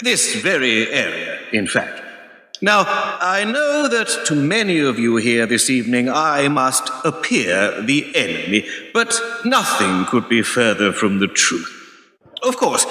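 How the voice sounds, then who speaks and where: An actor playing a slick, hard-nosed investment company man making a formal, measured and pointed public speech in a radio play. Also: mid-range voice, pushed and bright. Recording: echoey hall with long reverb.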